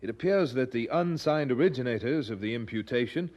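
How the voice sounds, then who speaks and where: A man's voice: medium pitch, lively intonation, brisk, a little mellow, natural voice. Casting: male actor for earnest period preacher in audio drama; mid-range voice, declamatory, formal, resolute. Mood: firm, indignant. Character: earnest, resolute 18th-century English preacher.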